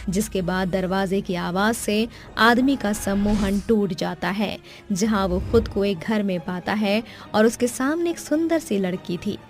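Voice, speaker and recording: A female narrator tells a scripted cartoon horror tale. Her voice is mid-pitched, and faint music plays behind her. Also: darkish tone. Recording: background music under the voice.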